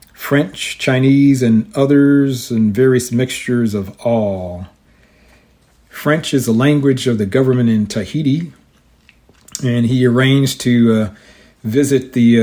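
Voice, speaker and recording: Man, middle-aged or older, mellow tone, medium pitch, informal hobbyist host, measured explanatory talk. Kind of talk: casual explanatory talk with some reading aloud.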